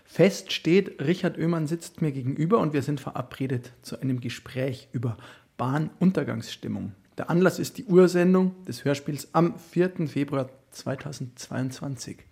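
A male radio interviewer with a fairly high, creaky voice. He puts his questions in a measured and articulate way, conversational but prepared. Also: warm tone.

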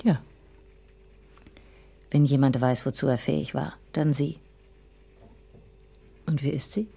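An actress in a radio play playing a detective's self-assured wife, dry and matter-of-fact, with a low, mellow voice. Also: female voice, steady tone, slow, vocal fry, husky, exaggerated voice.

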